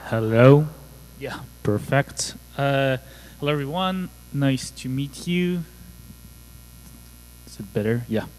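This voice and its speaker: A man's voice: medium pitch, slow, long pauses, darkish tone, smooth, poor audio. A man in his thirties from France with a French accent, giving a joking, casual conference talk full of fillers. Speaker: conference speaker. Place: conference hall.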